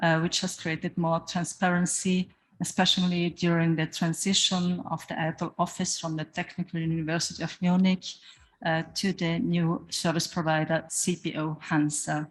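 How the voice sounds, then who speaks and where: A woman whose first language is German, presenting a formal financial report as an association's treasurer, in a measured and careful way. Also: lowish voice, flat delivery, rough recording.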